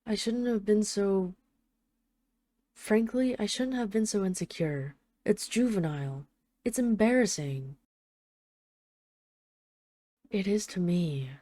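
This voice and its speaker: A woman playing an apologetic, lovesick mafia boss in hiding, speaking in an earnest, halting, confessional way, as in an intimate audio roleplay phone call. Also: mid-range voice, animated, mellow, close to an everyday voice. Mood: contrite.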